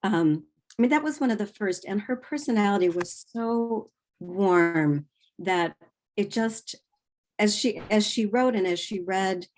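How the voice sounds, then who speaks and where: A woman's voice: medium pitch, unhurried, a little mellow. A woman speaking informally as a panelist, sharing personal reflections. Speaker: writer, panelist.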